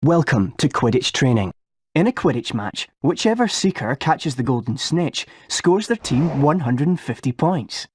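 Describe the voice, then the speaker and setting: A man's voice: mid-range voice, big pitch swings, normal speed, darkish tone, breathy edge, no room sound. A man playing an earnest teenage sports captain, giving businesslike coaching instructions in a video game. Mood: keen, instructive. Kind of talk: scripted video game tutorial.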